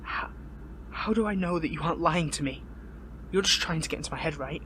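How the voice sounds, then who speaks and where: A young man playing a captured hero in an audio roleplay, earnest, conflicted and lovelorn, with stammering, pleading, breathy delivery. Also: on the high side, brisk, sharp tone, slight background noise. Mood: distraught, disbelieving. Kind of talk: dramatic audio roleplay acting.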